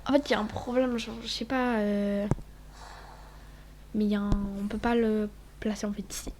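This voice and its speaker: A woman's voice: mid-range voice, fairly even intonation, normal speed, noisy background. A woman with a cold, sniffling as she chats casually over her gameplay, rambling and often dropping to a whisper. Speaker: gaming vlogger.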